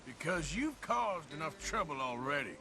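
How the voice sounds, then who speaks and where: A gruff American cowboy outlaw with a lively, swooping delivery, pitched on the high side. He is weary, scolding and decent underneath, speaking in a dry drawl over loud game music and horses. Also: normal speed. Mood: wry, weary. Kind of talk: video game cutscene acting.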